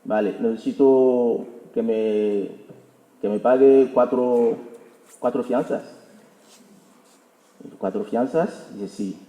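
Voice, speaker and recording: A man telling an anecdote to an audience in a halting way, often repeating his words. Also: medium pitch, steady tone, warm tone. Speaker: intercultural mediator presenting the event. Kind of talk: informal public talk with anecdote. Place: auditorium hall. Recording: echoey hall recording.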